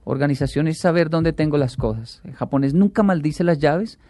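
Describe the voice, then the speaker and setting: A man, a talk show guest who tells animated stories and acts out the quotes. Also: a little high, moderate pace, no echo, studio quality. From Colombia. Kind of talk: casual chat.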